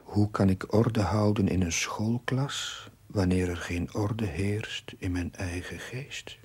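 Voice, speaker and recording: A troubled young chaplain in a television drama, narrating his diary. The voice is low and very husky, with breath in it. He goes slowly and solemnly, in formal, literary phrases. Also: fairly even intonation, a little mellow, full character voice. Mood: solemn, reflective. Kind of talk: scripted dramatic voice-over narration.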